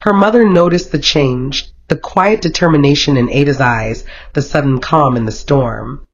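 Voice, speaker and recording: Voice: medium pitch, moderate pace, slightly rough. Dull-sounding dramatic folktale storyteller. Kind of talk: scripted narration of a romantic folktale.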